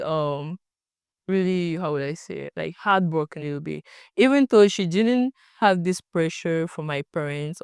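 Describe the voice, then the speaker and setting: A young woman from Cameroon with a Cameroonian French accent and French as her first language. She answers interview questions as a student researcher, often pausing to search for words. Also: sits low, a little mellow, clean tone, no room sound, studio quality. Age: young adult.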